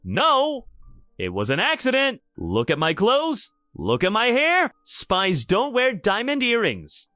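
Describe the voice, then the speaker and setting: A man's voice: sits high, huge pitch swings, slow, pushed and bright, no echo, clean studio sound, soft background music, voice pushed up, full character voice. A man voicing a haughty, frightened young billionaire heiress, indignant and demanding, in an audio drama story. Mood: pleading.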